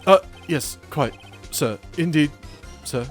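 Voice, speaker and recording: Bellboy witness, played by a man: jittery, obsequious, halting, raised pitch, game voice-over with music behind. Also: lively intonation. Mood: nervous, deferential. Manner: hesitant, stammering, obsequious. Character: nervous, deferential male hotel bellboy on witness stand. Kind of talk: improvised video game character voice-over.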